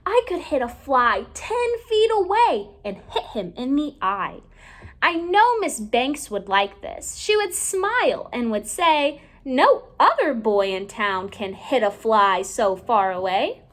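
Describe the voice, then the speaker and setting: A woman with a high voice reads a children's story aloud. Her pitch rises and falls in a lively way, and she takes her time, stretching words out for young listeners. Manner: expressive, deliberate phrasing with drawn-out words for young listeners. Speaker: teacher reading a storybook aloud. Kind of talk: children's read-aloud narration.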